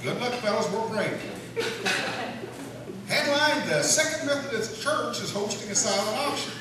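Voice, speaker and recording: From a distant mic in a very echoey, noisy room comes a man acting as a folksy small-town radio newsreader. His voice is high for a man. He announces comic news in a cheerful deadpan with homespun asides. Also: moderate pace. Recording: roomy echoing stage, distant mic, noisy.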